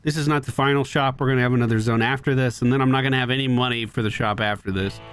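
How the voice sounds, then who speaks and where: A man's voice: mid-range voice, steady tone, racing, clear, resonant tone, faint music behind. A man giving casual running commentary as he plays a video game, talking to himself with exasperated exclamations and swearing. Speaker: gaming video creator.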